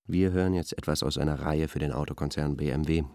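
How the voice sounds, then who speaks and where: An actor playing a bemused, understated arts expert talking deliberately as a studio guest in a radio play. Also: male voice, very deep voice, fairly even intonation, vocal fry, a little mellow, a little hiss or hum. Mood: matter-of-fact.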